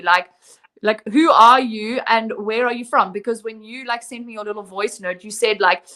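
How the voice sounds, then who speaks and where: A woman's voice: medium pitch, sharp tone. A young woman hosting a live-stream interview, chatting casually with many "like" and "um" fillers. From Zimbabwe. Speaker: host and interviewer of a fitness app live stream.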